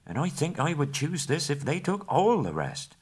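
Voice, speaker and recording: A man reading aloud and voicing a timid, fussy male hobbit with a high, fretful voice that grows breathless when he is scared. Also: wildly swooping pitch, normal speed, slightly rough, full character voice. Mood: sly, pleased.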